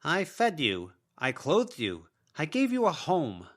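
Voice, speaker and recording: A man's voice: fairly high pitch, wildly swooping pitch, takes it slowly, pitched above the performer's own voice, heavily acted. A man voicing the ghost of an older woman, pleading, aggrieved and full of excuses, in an audiobook. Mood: aggrieved, self-justifying.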